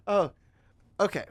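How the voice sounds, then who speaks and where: Joking podcast host guy, higher voice, really animated, close dry sound. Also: slightly rough, no room sound. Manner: playful, joking. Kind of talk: casual chat.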